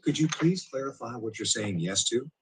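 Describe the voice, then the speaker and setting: A male-voiced smart speaker assistant speaking in polite, even, synthetic phrasing. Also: medium pitch, moderate pace, a little hiss or hum. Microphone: played from a smart speaker into the room.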